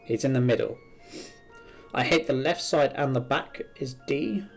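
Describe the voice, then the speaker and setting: A man's voice: medium pitch, unhurried, creaky. A man streaming a video game, thinking aloud as he works through puzzles and reads them out. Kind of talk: live game commentary, reading puzzles aloud. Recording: game music behind the voice. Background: video game music.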